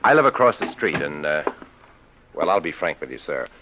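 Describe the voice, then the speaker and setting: An actor playing an earnest, insistent man with a measured, polite, slightly hesitant manner in a vintage radio drama. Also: extremely low pitch, sing-song, noisy background. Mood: earnest, uneasy. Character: earnest, insistent stage actor worried by what he saw. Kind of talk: 1940s-50s radio drama acting. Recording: muffled vintage radio recording.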